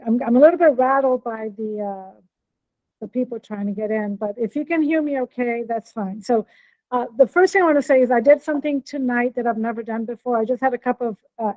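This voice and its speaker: A woman's voice: on the high side, dry recording, phone-quality sound. Chatty American woman giving a keynote. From born in Norway, raised in Brazil. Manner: friendly, chatty, checks on listeners. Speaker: keynote speaker, professor. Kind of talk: academic keynote talk. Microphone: computer mic on video call.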